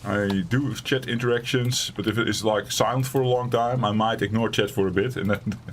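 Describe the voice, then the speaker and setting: A raspy, fairly low-pitched man rambles through casual let's-play commentary, joking at his own expense as he streams from a home gaming setup. Faint keyboard clicks tick away behind him. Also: fairly even intonation, moderate pace, a little hiss or hum. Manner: rambling, self-deprecating, chatty.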